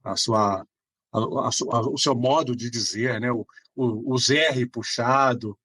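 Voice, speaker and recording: A man's voice: mid-range voice, fairly even intonation, slow pace, sharp tone, slightly rough, no room sound. A man from Belo Horizonte with a Minas Gerais Brazilian accent, answering casually as a podcast guest in a rambling way, often adding checking tags. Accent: Brazilian Portuguese, Minas Gerais. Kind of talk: casual conversational interview answers.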